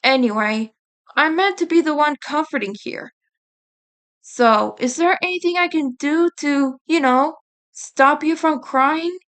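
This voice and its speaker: A woman's voice: sits high, measured pace, a bit bright, pitched above the performer's own voice. A woman reading the part of a blunt, guilt-ridden teenage mutant turtle boy in a story, awkward about feelings.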